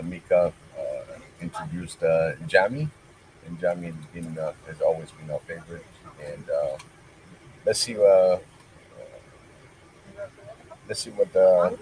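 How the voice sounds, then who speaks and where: A deep-voiced man hosts an online stream and introduces the next clip. He speaks haltingly with frequent fillers, over a faint background hum. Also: darkish tone, rough recording. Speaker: host. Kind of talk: livestream hosting.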